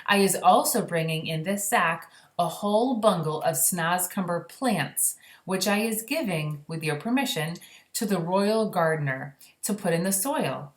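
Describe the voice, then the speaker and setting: A man's voice: high voice, expressive, measured pace. A woman voicing a kindly, earnest male storybook giant who speaks emphatically in jumbled, ungrammatical phrases. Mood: earnest, assured. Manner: mangled grammar, emphatic. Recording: roomy echo.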